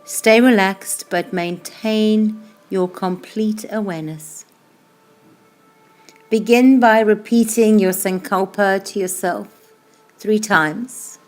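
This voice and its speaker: A woman leads a guided relaxation as a yoga instructor, with music playing behind her. Her pitch sits in the middle for a woman. She speaks slowly and soothingly, letting the ends of phrases fade softly. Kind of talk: guided meditation, slow calm instruction.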